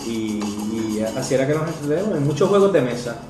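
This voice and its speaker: A Puerto Rican man in his forties answers interview questions, recalling his childhood at mid pitch. He hesitates often and fills the gaps with "eh". His voice carries a roomy echo, with music running in the background. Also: lively intonation, fast. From Puerto Rico. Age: 40s. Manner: reminiscing with frequent "eh" hesitations. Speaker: actor guest.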